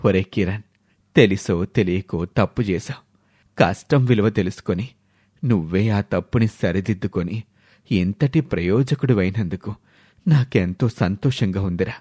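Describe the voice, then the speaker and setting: Man acting proud village father, lowered pitch, solemn, affectionate, storybook dialogue. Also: normal speed, warm tone, husky. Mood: proud, moved.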